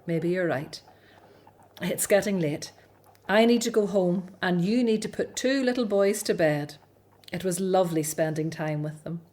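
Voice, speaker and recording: A woman plays a doubting, anxious Hebrew prophetess in a radio drama. Her voice is fairly low for a woman. She speaks gravely, in measured phrases. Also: darkish tone, lightly acted. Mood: doubtful, gentle. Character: worried, doubting Hebrew prophetess aunt, female. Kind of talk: scripted radio drama acting.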